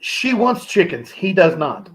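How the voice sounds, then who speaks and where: Lively, slightly high-voiced man chatting. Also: a little slow, breathy edge. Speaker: livestream panelist. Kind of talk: casual chat. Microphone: video call.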